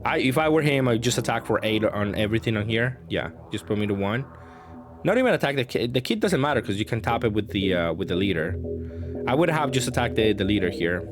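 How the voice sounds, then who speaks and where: A man with a fairly low voice commentates casually on his own gameplay. He reasons aloud as he goes, hesitating and working through choices. Music is clearly audible underneath his speech. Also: lively intonation, fast. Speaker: card game player and channel host. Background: background music.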